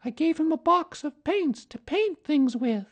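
A man's voice: very high-pitched, lively intonation, a little slow, pitched above the performer's own voice. A man voicing small, timid, earnest young storybook characters. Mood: plaintive, earnest.

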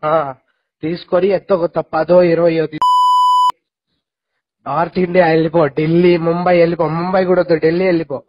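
A man's voice: a little high, level tone, normal speed, pauses often. A young man talking casually on a recorded phone call, giving insistent advice. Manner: insistent, advising.

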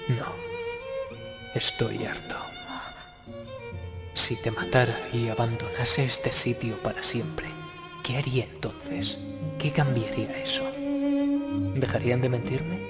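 Anime dub role: despairing young hitman played by a man, very animated pitch, loud violin music behind. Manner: brooding, emotional, dramatic phrasing. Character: weary, anguished young male assassin, devoted and despairing. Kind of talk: anime fan dub acting. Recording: long reverb under loud violin music.

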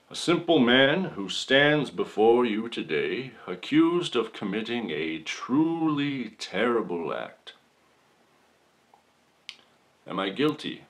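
A man playing a folksy, shameless accused man who gives a mock-solemn, melodramatic courtroom monologue with long dramatic pauses, for comedy. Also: medium pitch, a bit bright, full character voice. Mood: humble, pleading. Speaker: podcast performer.

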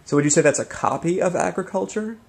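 A man's voice: high voice, animated, fast, vocal fry, darkish tone. A man interviewing casually from off camera, inquisitive and a little hesitant. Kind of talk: casual improvised questioning. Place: indoors, off camera.

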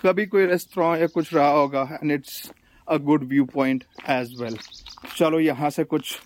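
Unhurried Indian man vlogging outdoors. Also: a little high, steady tone, slight background noise. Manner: mixes Hindi and English, informal and enthusiastic. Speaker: travel vlogger and host. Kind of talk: casual travel vlog chat to camera. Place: outdoors at a hillside viewpoint. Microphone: phone camera.

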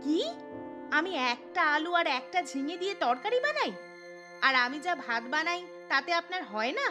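A woman voicing a sharp-tongued, exasperated daughter-in-law in a cartoon story, scolding and indignant. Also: extremely high pitch, very animated, normal speed, over music.

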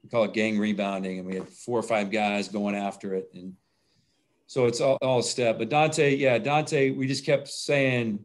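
A man's voice: lowish voice, fairly even intonation, normal speed. A middle-aged man, a basketball coach, talking plainly over a video call at a postgame press conference, often restarting his phrases. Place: indoors in front of a logo backdrop. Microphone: video call webcam.

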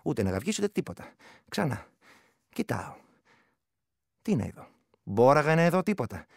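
A man's voice: medium pitch, very animated, creaky, no room sound, clean studio sound. A man playing a rural Greek village soldier in a radio play, recounting his terror in earthy dialect with a rural accent. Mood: tense, uneasy. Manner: earthy dialect storytelling, shifting between hush and outburst. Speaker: actor. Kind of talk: dramatic first-person storytelling in a radio play.

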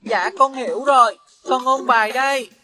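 A woman voicing a playful, sincere young child in an animated series. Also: high-pitched, normal speed. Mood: earnest. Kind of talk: Vietnamese animated series dubbing.

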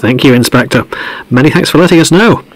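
A man's voice: a little high, fast, dry recording, lightly acted, poor audio. A man playing a chatty, jovial podcast host presenting a show within an audio drama. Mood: brisk, hosting.

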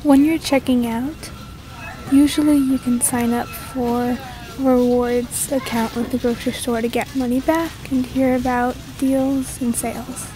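A woman's voice: high voice, steady tone, a little slow, rough recording. A young woman giving a checkout tip and signing off a casual vlog at a supermarket checkout. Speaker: vlogger giving sign-off.

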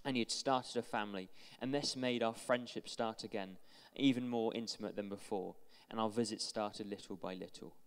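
A man's voice: on the low side, fairly even intonation, normal speed, few pauses, smooth. A young man on a church stage, earnestly reading out a friend's testimony into a handheld mic. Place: large church hall with stage. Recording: long hall reverb.

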